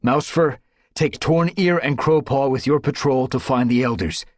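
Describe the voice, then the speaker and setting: A man's voice: fairly low pitch, animated, brisk, slightly rough, no room sound, voice pushed up. A man voicing a commanding tomcat clan leader who gives orders.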